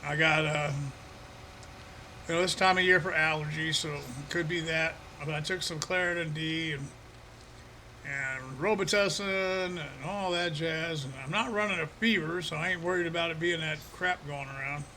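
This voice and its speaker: A man's voice: a little high, normal speed, pushed and bright, slight background noise, poor audio. Easygoing streamer man with a froggy throat. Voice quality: froggy, slightly hoarse throat. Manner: easygoing, chuckling, talks to chat by name. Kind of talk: casual live-stream chat while playing a farming game.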